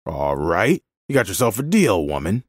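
A man's voice: sits low, very animated, normal speed, no echo, studio quality. A man voicing an angry, bullying, miserly vineyard owner who rants scornfully in a dramatised story. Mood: grudging. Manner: ranting, scornful.

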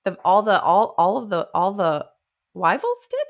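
A woman's voice: mid-range voice, very animated, moderate pace, gravelly. A woman in her thirties co-hosting a book club, chatting informally and acting out jokey paraphrases into a close mic. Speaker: book club podcast co-host. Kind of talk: casual book discussion. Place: small indoor room. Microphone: close studio microphone.

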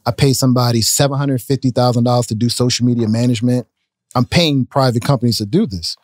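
Relaxed man in a dry studio. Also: medium pitch, fast talker, no room sound. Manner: relaxed, talks with his hands. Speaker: guest business owner. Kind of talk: casual interview storytelling. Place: podcast studio, indoors. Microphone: studio mic on a boom arm. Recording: dry close studio sound.

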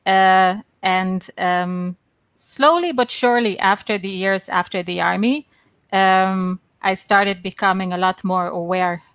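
A young woman from the Netherlands speaks as a guest on a video call, using a headset mic in a small room. Her talk is earnest and steady, with frequent ums. The sound is dry and close. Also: medium pitch, unhurried, clean tone, dry recording. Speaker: guest activist.

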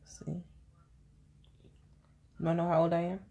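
A woman's voice: lowish voice, monotone, moderate pace, mellow. Playful mom teasing her kids at bedtime. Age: 30s. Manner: playful teasing, repeating questions. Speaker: mother filming her daughters. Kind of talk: casual family chat at bedtime. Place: bedroom, lying in bed indoors. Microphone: phone camera held at arm's length.

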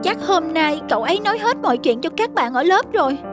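A very high, fretful cartoon schoolboy voiced by a woman, chubby, guilty and unsure of himself. He speaks as if questioning himself, with music clearly underneath. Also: sing-song, fast talker. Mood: worried. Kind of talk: cartoon dubbing. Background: prominent music.

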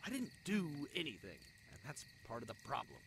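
A man voicing a young spider adventurer and secret lost prince in a fantasy audio roleplay, snarky, anxious and haltingly flustered. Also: mid-range voice, wildly swooping pitch, creaky, noisy background. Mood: anxious, muttering. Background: crickets, night forest ambience.

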